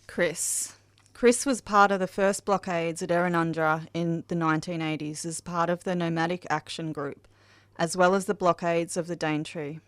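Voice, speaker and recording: A woman's voice: lowish voice, fairly even intonation, moderate pace, smooth, dry recording. An Australian woman reading scripted memorial tributes for a podcast. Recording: music behind the voice. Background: music.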